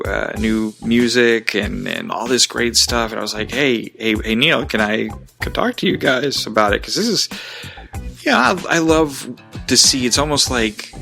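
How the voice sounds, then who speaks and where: A man's voice: lowish voice, gravelly, bright, forceful tone. A man hosting a podcast in a casual, conversational way, rambling along with enthusiasm. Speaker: podcast host.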